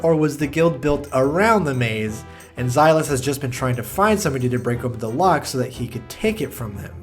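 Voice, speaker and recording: A young man with a mid-range voice talks through a bad fantasy movie in a scripted review. He keeps slipping in mocking, deadpan asides and the odd curse word. Faint music sits underneath. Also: medium pitch. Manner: wry, mocking asides with swearing. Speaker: film reviewer. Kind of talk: sarcastic movie review commentary.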